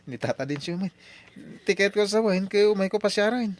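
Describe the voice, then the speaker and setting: High-voiced, animated young Ilocano suitor. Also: male voice, a little fast. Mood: polite, hopeful. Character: eager young male suitor visiting his sweetheart's father. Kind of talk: old radio drama acting.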